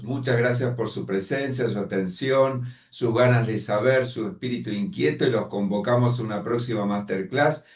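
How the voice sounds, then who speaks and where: A man co-host reads announcements and bids farewell in a formal, measured way. He sits away from the mic, and the room adds a clear echo to his voice. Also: medium pitch. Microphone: video call. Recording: echoey room, distant mic.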